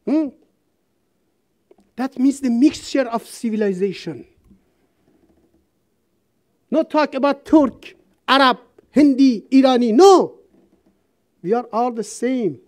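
A woman from Iran, giving a seminar speech in an auditorium in halting English, speaking emphatically and reciting verse. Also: a little high, expressive, a little mellow. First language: Persian.

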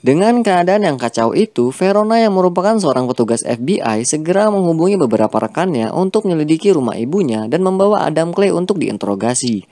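A man reading a scripted film plot recap as a voice-over, with a steady storytelling delivery. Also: on the high side, quick pace, few pauses, dry recording. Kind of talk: scripted narration.

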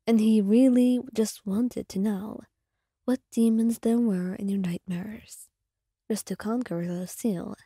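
Whispering female storyteller, soothing and slow; husky tone, dead dry sound. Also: medium pitch, mellow, no room sound. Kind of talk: whispered second-person story narration.

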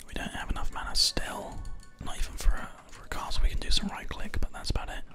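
Breathy whisper, ASMR game commentary, close binaural mic, keyboard and mouse clicks. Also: fast talker, loud music behind the voice. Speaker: gaming video host. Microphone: close binaural microphone.

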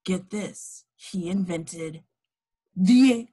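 A woman's voice: lowish voice, extremely slow, warm tone, breathy edge, full character voice. A woman acting knowing informant and reporter parts in an amateur table read over a video call. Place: home, remote video call.

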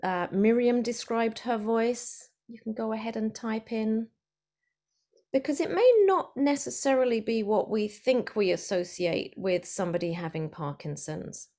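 A woman's voice: a little high, a little slow, mellow. Woman speech therapist teaching online. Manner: friendly, explanatory, with fillers. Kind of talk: online presentation. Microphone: video call.

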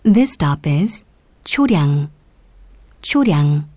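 A woman giving scripted subway announcements in a formal, deliberate way. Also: low voice, big pitch swings, warm tone, no echo, clean studio sound. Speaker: English-language subway announcer. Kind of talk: scripted public transit announcement.

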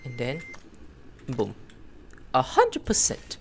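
A man streaming a video game, commenting casually and breaking into loud, high yelps during fights. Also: wildly swooping pitch, slow, vocal fry, slight background noise. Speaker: gameplay streamer. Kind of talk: live gameplay commentary. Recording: game music playing underneath.

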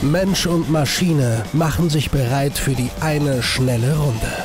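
A man narrating a car show voice-over with dramatic, drawn-out delivery. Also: on the high side. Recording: voice-over under loud music.

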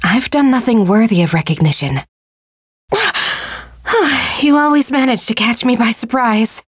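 A woman playing a gentle, humble young warrior queen in a video game, with soft, measured, formal, earnest delivery. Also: on the high side, big pitch swings, breathy edge, no room sound, clean studio sound, full character voice. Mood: gentle, modest. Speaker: game voice actor. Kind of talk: scripted video game character lines.

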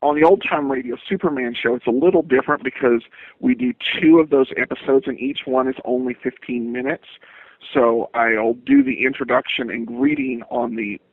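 Halting man on a tinny phone line. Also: mid-range voice, big pitch swings, gravelly, husky. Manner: hesitant, halting delivery with frequent stammered repeats. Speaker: guest, podcaster of old-time radio shows. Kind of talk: interview answers.

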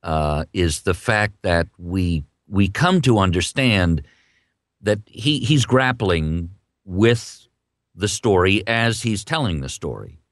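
A man interviewing in a conversational way, halting and restarting phrases as he works toward his point. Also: deep voice, expressive, no room sound, studio quality.

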